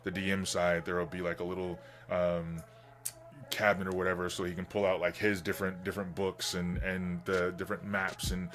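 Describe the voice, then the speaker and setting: A man hosting a livestream in casual, rambling, enthusiastic chat, his voice slightly hoarse from getting over being sick. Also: deep voice, flat delivery, a little fast, a bit bright. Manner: enthusiastic, informal geek talk. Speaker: livestream host.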